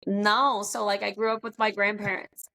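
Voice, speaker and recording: A woman's voice: medium pitch, flat delivery, normal speed, sharp tone. A middle-aged American woman chatting informally and jokingly as a podcast guest. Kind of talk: casual chat.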